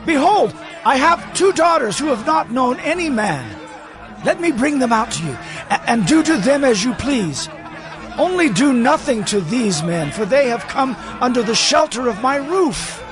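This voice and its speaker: A man's voice: very high voice, big pitch swings, normal speed. A man playing an anxious, hospitable householder in a dramatized scripture reading, pleading and urgent. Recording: background music, crowd noise.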